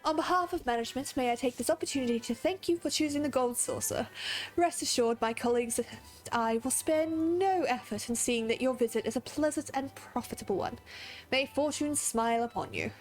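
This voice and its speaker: Courteous attendant voiced by a woman, ceremonious and polite, lifted pitch. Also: brisk, faint music behind, natural voice, rough recording. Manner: polite, ceremonious read-aloud. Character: courteous, formal attendant greeting guests.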